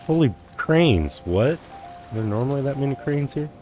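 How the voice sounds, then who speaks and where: A man giving casual, low-key gameplay commentary in an offhand mutter. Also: lively intonation, moderate pace. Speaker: gaming streamer playing through a game. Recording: game music behind voice.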